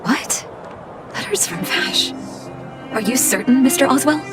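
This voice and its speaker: An actress playing an earnest, gentle and caring woman doctor in a video game. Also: sits high, a little slow. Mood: startled, questioning. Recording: music behind the voice. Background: music.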